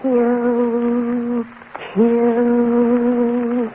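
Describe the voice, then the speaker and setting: A spectral woman chants in an old radio horror play. Her voice is dark and barely moves in pitch, dragging slowly like a trance. Music lies under the dull broadcast sound. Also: slight background noise. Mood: hypnotic. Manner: slow, flat, hypnotic chanting.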